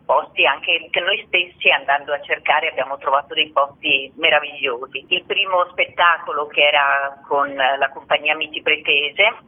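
A man speaking as an interview guest in a conversational, explanatory way, with a husky voice over a narrow phone line. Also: fairly high pitch. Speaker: theatre festival guest.

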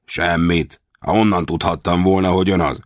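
A man's voice: deep voice, steady tone, no echo, clean studio sound, lightly acted. A man voicing a scheming, gloating male bandit leader in a mocking tone. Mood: dismissive.

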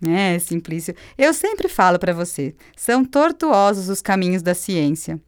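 A woman's voice: fairly low pitch, big pitch swings, measured pace, clear, resonant tone, no room sound, clean studio sound. A woman with a Brazilian accent playing a patient, friendly astronomer who explains things in a scripted radio drama. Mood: explanatory. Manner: explanatory, didactic. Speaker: actor in an educational radio drama.

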